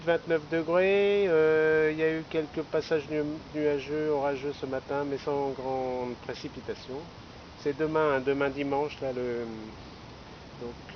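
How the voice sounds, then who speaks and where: Male radio hobbyist, slightly high voice, rambling and chatty, recorded outdoors with muffled, lo-fi sound. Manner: chatty, rambling, tagging phrases with hein and là. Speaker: amateur radio operator. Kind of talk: casual amateur radio chat. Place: outdoors in a city park. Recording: dull, lo-fi outdoor recording with noise.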